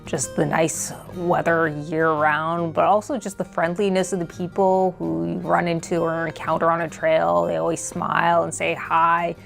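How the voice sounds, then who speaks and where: A woman journalist from California who talks about her home state in a measured, dryly ironic way. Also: fairly low pitch, flat delivery, gravelly. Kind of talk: casual personal reflection. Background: faint music.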